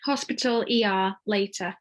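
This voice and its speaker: A woman's voice: medium pitch, normal speed. A woman reading stage directions as scripted narration for a table read.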